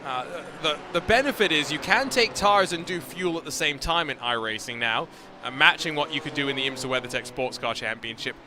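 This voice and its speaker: Chatty joking race commentator, noisy mic. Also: male voice, fairly high pitch. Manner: chatty, joking, quick-witted. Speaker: sim racing commentator. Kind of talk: live race commentary chat.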